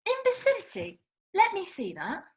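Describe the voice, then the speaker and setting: A woman's voice: very high-pitched, wildly swooping pitch, normal speed, background noise, heavily acted. An actress playing a blunt, indignant young British woman with colloquial, sweary speech in a comedy script read. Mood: incredulous. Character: blunt, indignant young woman, film-loving Austen festival-goer.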